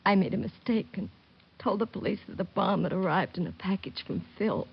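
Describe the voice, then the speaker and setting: Husky, distraught young widow. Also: female voice, mid-range voice, fairly quick. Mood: earnest, troubled. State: drowsy, upset. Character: shaken, sedated young widow, earnest and sorrowful. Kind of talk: 1940s radio drama acting. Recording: muffled old radio recording.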